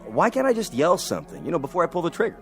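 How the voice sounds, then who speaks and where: An actor playing a polite, earnest co-star who objects hesitantly. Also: male voice, on the high side, huge pitch swings, very fast, soft background music. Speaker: actor playing Jesse James.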